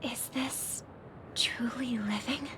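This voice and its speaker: A woman playing a gentle, thoughtful young sister in a video game, speaking softly and earnestly. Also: fairly high pitch, slow pace, background noise. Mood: troubled concern. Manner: soft, earnest, questioning. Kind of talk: video game cutscene acting. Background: loud music.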